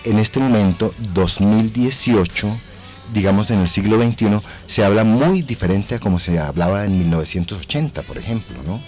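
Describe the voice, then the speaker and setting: An older man with a low voice talks as an interview guest. He explains his points like a teacher, adding little tag questions, while music plays behind him. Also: moderate pace, a little mellow. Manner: didactic, explanatory, seeks agreement with tag questions. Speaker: guest voice actor. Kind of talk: thoughtful radio interview talk.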